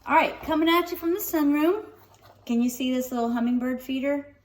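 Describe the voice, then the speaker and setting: Expressive woman reading a storybook, slightly distant. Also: high-pitched, a little fast. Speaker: storybook reader. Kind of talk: children's read-aloud narration with casual asides. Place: sunroom at home.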